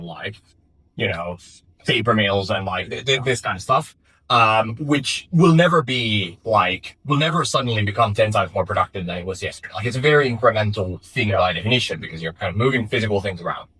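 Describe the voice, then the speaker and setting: A man's voice: fairly low pitch, a bit bright, slightly rough, no room sound, poor audio. A young man from Finland, a startup founder, answering interview questions in a thoughtful way with many fillers and restarts. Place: office in Finland.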